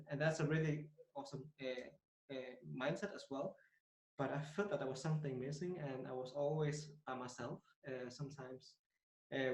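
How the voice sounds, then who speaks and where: A man's voice: medium pitch, darkish tone, reverberant, low-quality recording. A man in his twenties from Denmark, talking casually as a podcast guest, hesitant with many fillers and restarts. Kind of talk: casual interview talk.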